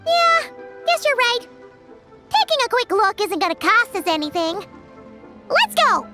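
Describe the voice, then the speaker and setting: A woman's voice: very high-pitched, very animated, a little slow, music in the background, full character voice. A woman voicing a small, childlike, cheeky fairy companion in a video game cutscene, speaking bluntly. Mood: sassy. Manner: refers to self in third person, blunt.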